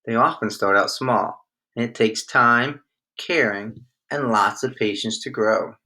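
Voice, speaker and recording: A man reading the part of a loving storybook mother, gentle and encouraging with her children. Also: mid-range voice, measured pace.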